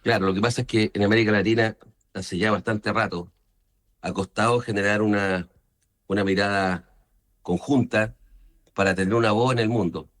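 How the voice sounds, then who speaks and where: A man's voice: on the low side, fairly even intonation, moderate pace, long pauses. Chilean man explaining his political views. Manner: punctuates points with short questioning tags. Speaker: senator being interviewed. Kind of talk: political interview, explaining opinions.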